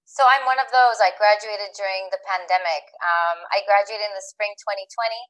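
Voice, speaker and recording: A woman's voice: medium pitch, brisk, poor audio. A young woman from as a guest on an interview podcast. She answers eagerly, with plenty of ums and repeated starts. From Montreal, Canada. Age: young adult. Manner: warm, animated, many fillers. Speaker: primary school ESL teacher, guest.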